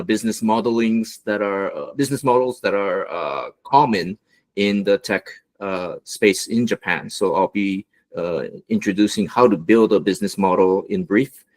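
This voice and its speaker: A man from Los Angeles giving an online business presentation as a guest mentor, with a relaxed delivery and many fillers. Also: lowish voice. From United States, Los Angeles.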